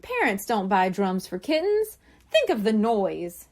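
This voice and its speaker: A woman voicing a gentle, reassuring mer-cat father with playful humour, speaking patiently in a storybook. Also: mid-range voice, sing-song, unhurried.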